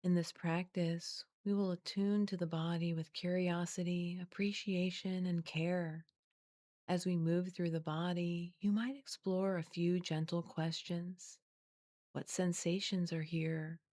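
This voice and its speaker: A woman guiding a body scan meditation in a gentle, soothing, unhurried way, leaving long pauses between scripted phrases. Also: fairly low pitch, little pitch movement, no echo, clean studio sound. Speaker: guided meditation teacher.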